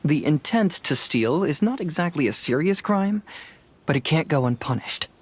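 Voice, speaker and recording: A man voicing a shrewd young detective in a video game, calm, measured and matter-of-fact. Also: on the high side, slight background noise, close to an everyday voice. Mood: calm, confident.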